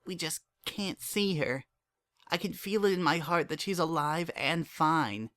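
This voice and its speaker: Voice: mid-range voice, a little slow, fairly bright tone, pitched above the performer's own voice, natural voice. A narrator voicing a young pony mare, a hurt but hopeful daughter, emotional and pleading, in a dramatic story reading. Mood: earnest, hopeful.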